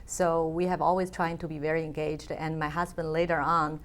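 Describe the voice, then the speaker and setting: A woman's voice: deep voice, little pitch movement, moderate pace. A woman, a guest in an interview, speaking thoughtfully with frequent fillers. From China. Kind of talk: conversational interview.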